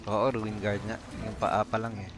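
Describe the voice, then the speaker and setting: A man's voice: fairly low pitch, flat delivery, moderate pace. A man with a Filipino accent giving casual live game commentary, thinking aloud as he plays. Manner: mixes two languages, thinks aloud. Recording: stream voice with game music. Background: game music.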